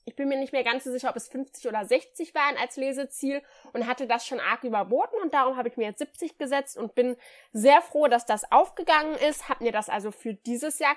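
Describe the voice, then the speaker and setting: A woman co-hosting a book podcast in casual chat, a bit nasal from a cold, cheerful and chatty. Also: sits high. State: has a cold, slightly nasal. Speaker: podcast co-host.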